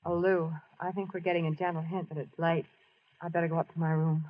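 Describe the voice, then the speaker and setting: A woman playing a sweet, gentle young fiancee who is slightly unsure of herself, in a 1940s style radio drama. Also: lowish voice, level tone, fast, close to an everyday voice. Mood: tender, unsure. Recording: dull, faintly noisy old radio recording.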